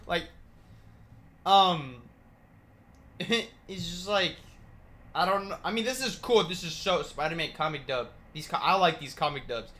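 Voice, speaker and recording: A man's voice: high-pitched, unhurried, long pauses, bright, forceful tone. A young adult man giving casual reaction commentary in a rambling, slangy, sweary way. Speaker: reaction video host.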